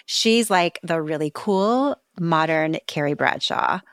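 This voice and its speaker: A woman's voice: deep voice, lively intonation, slow pace, fairly bright tone, smooth, no room sound, clean studio sound. A woman co-hosting a podcast as a coach, enthusiastic and effusive as she introduces and praises her guest. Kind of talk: upbeat podcast interview.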